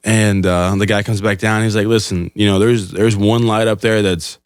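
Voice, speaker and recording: Young man, slightly low, dry-sounding casual chat. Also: fairly even intonation, moderate pace, clean tone, no echo. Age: young adult. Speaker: podcast co-host.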